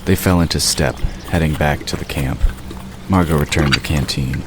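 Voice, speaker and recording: A man narrating an audio drama in a calm, measured way. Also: extremely low pitch, over music.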